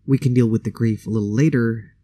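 A man's voice: medium pitch, fairly even intonation, a little fast, dark tone, no room sound. A man whose first language is Indonesian, hosting a solo podcast in a conversational, opinionated way with casual asides. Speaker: podcast host.

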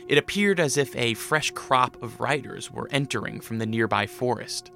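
A male narrator reads a scripted story. His voice is mid-pitched with a noticeable creak, and soft music plays behind him. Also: animated, moderate pace.

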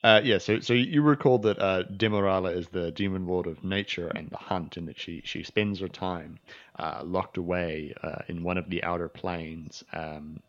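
Deep-voiced game master, halting narration. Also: male voice, steady tone, dull audio. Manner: halting, many fillers and restarts.

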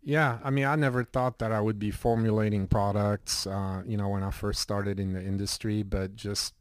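A middle-aged man from Switzerland speaks as an interview guest with a low, level voice that barely rises or falls. He talks in a relaxed, rambling way, full of fillers. The recording is dry and close. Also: normal speed, clear, resonant tone, dry recording. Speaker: guest, health shop owner. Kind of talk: casual interview talk.

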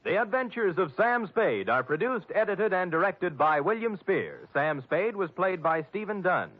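Animated vintage male radio announcer, tinny sound. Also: sits high, moderate pace, soft background music. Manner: polished, formal, promotional. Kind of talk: 1950s scripted radio announcing. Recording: tinny, band-limited 1950s radio recording.

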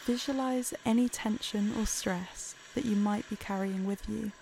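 A woman narrating a guided meditation in a calm, soothing, unhurried way. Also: mid-range voice, vocal fry, a little hiss or hum, rough recording.

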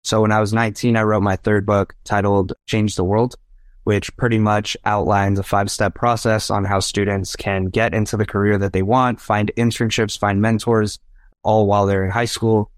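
A man's voice: lowish voice, flat delivery, moderate pace, dry recording, clean studio sound. A young adult man whose first language is Spanish, earnestly telling personal anecdotes as a podcast guest and often checking in with "right?". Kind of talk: casual interview storytelling.

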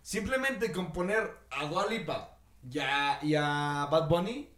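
A young man with a Mexican accent and a high-pitched voice co-hosts a casual podcast. He chats in loose slang, excitable and joking. The room adds noticeable echo. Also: slow pace. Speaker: podcast host. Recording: roomy echo.

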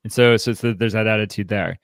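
A man hosting a podcast, discussing in a casual way, haltingly, often repeating words and saying "like". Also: on the low side, fairly even intonation, clean tone, dry recording, studio quality. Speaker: podcast host.

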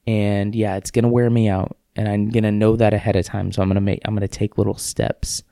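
A man with a low, mellow voice and a gravelly edge, talking through his thoughts on a solo podcast. He rambles in a relaxed, self-deprecating way, restarting his sentences, with an occasional sniffle. The sound is dry and close. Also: brisk, no room sound. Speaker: podcast host. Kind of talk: casual solo podcast monologue.

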